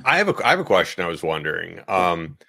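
A man's voice: deep voice, big pitch swings, fast talker, creaky, sharp tone, no room sound, studio quality. A man co-hosting a podcast in casual chat, talkative and repeating himself for emphasis. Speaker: podcast co-host.

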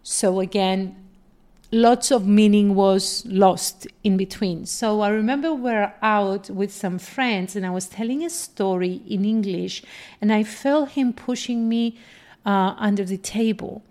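A woman with a Greek accent speaks slowly at a middling pitch. She sounds like a podcast coach explaining things step by step, deliberate and instructive. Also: a little mellow. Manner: deliberate, explanatory, poses questions then answers them. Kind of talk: instructional solo podcast talk with personal stories.

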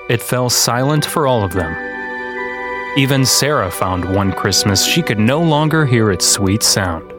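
Slow, animated male storyteller. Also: fairly low pitch. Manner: deliberate, dramatic storytelling delivery. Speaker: storyteller and podcast host. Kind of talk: expressive children's picture-book reading.

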